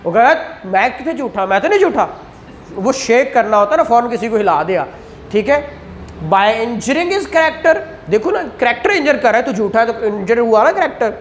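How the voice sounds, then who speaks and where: A man giving a classroom law lecture, explaining in a conversational tone, reading legal text slowly, and acting out courtroom questions. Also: extremely high pitch, big pitch swings, slight background noise. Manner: explains in Urdu, reads English statute text slowly aloud; acts out courtroom exchanges.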